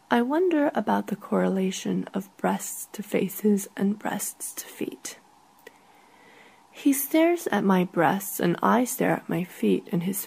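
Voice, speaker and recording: Breathy woman slowly reading a short story. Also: mid-range voice, warm tone, poor audio. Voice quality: breathy, often falling to near whisper. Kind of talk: literary first-person story narration.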